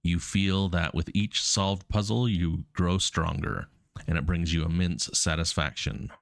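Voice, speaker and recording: A man's voice: sits low, a little slow, creaky, dry recording. A man with a cold and a cough drop in his mouth, casually reading puzzle text and thinking aloud. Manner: casual, relaxed, many ums. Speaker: coding streamer.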